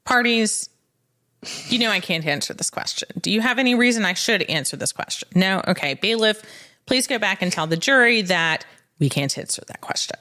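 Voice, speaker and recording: A woman's voice: medium pitch, lively intonation, moderate pace, bright, forceful tone. Woman co-hosting a casual legal podcast. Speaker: legal expert podcast co-host. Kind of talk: casual explanatory podcast chat.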